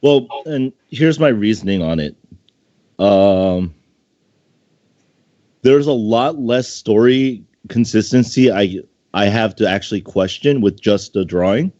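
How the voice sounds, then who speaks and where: A man's voice: lowish voice, slow pace, dark tone, no echo. A man judging an online contest as co-host, chatting casually and without a script in a laid-back, joking way. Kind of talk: casual unscripted chat. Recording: dry, muffled lo-fi voice recording.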